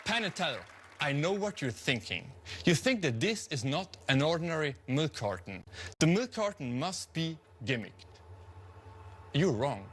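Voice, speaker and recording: A man's voice: on the high side, big pitch swings, measured pace, some chatter behind, a little hiss or hum. A man from Switzerland, doing dry, tongue-in-cheek stage patter as a magician performing for judges. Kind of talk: scripted comic stage patter. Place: TV studio stage with live audience. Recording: studio stage with audience and music.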